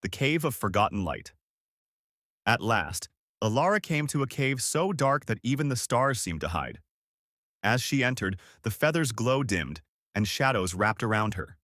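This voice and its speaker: A man's voice: mid-range voice, very animated, normal speed, fairly bright tone, no echo, studio quality. A man narrating a scripted fairy tale for children as a storyteller.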